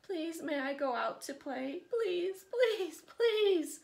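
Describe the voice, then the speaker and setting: An eager little boy lion cub from a storybook, put on by a woman reading aloud. His voice jumps high and swoops with excitement, with a gravelly edge underneath. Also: slow pace, full character voice. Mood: pleading.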